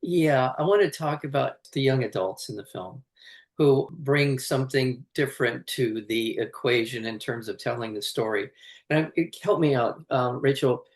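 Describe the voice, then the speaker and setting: A woman's voice: a bass voice, moderate pace, a little mellow. Roomy-sounding woman podcast interviewer. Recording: roomy, echoing voice.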